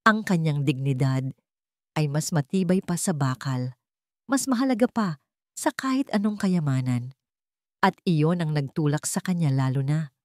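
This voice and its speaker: A woman's voice: low-pitched, animated, measured pace, long pauses, a little mellow, no echo, studio quality. A woman narrating a scripted dramatic story.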